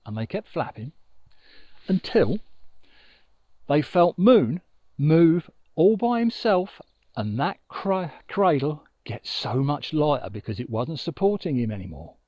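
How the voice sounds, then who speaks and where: A middle-aged man tells a story in a very lively, swooping voice, slow and full of dramatic pauses. He speaks outdoors into a lapel mic, and the sound is dry and slightly dull. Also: fairly high pitch, no room sound. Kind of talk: expressive children's storytelling. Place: outdoors on an open riverbank. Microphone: lapel mic with furry windshield.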